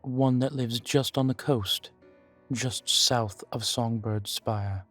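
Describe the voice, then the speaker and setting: A man playing an ancient vampire in an audio roleplay, guarded, weary and grave, speaking in a measured, deliberate way with dramatic pauses. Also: mid-range voice, fairly even intonation, fairly bright tone, faint music behind. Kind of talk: scripted audio roleplay addressed to the listener.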